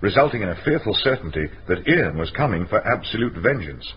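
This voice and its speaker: An actor playing a shrewd, composed male inspector in a radio mystery, formal and measured as he explains. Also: on the low side, sing-song. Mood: composed, probing. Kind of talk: radio mystery drama acting. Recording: muffled radio recording, faint noise.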